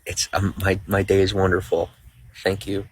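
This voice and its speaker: A man's voice: low voice, monotone, normal speed, slight background noise, poor audio. A young man streaming live, rambling casually while greeting viewers by name and reading out their comments. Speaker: livestreamer.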